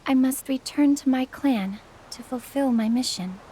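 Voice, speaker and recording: A woman's voice: high voice, measured pace, warm tone, a little hiss or hum. An actress playing a woman in a fantasy video game. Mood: solemn resolve.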